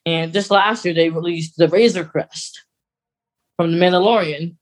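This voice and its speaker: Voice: mid-range voice, steady tone, normal speed, rough recording. Casual podcast co-host with a middle-range voice. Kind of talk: casual chat about fan news.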